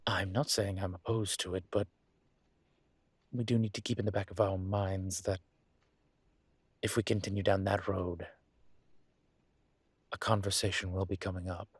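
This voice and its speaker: A man playing an ancient incubus teacher in an intimate audio roleplay, courteous and earnest, with measured, hesitant, formal speech and a husky voice. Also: low-pitched, little pitch movement, dry recording. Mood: gently teasing. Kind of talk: intimate one-sided audio roleplay acting.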